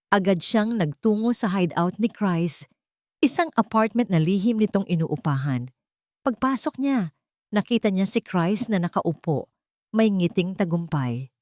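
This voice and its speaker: A woman's voice: lowish voice, a little mellow, dry recording, studio quality. A woman reading a scripted melodrama aloud, voicing all the characters' lines herself. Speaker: narrator.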